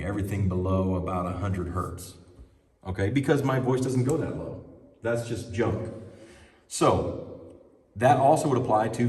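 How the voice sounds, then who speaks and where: A man's voice: on the low side, darkish tone. A man giving a casual hands-on lesson on mixing sound, talking through what he does as he adjusts a mixing console and speaks into a handheld mic. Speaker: audio trainer. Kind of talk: hands-on sound desk tutorial. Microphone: handheld microphone.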